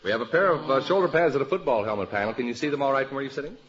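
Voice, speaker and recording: An American man hosting a panel quiz show, presenting in a deliberate announcer style. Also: mid-range voice, crowd noise. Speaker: game show host. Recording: dull, lo-fi old radio recording.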